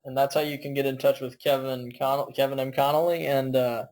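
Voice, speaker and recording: A man's voice: mid-range voice, level tone, fairly quick, a little mellow, poor audio. A man hosting a podcast, talking casually with a guest and with listeners. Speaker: podcast host. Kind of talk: casual interview chat.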